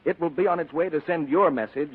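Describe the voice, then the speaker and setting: A man's voice: fairly high pitch, fast. An American man announcing from a script in 1940s radio style. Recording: muffled old radio with faint music, audience.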